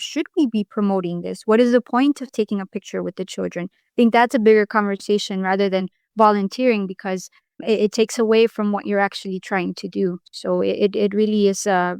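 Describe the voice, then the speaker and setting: A woman from Mexico answers as a podcast guest, her voice smooth and resonant. She sounds earnest and reflective, often restarting her sentences as she thinks aloud. The recording is dry and close. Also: mid-range voice, darkish tone, no echo. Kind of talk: podcast interview.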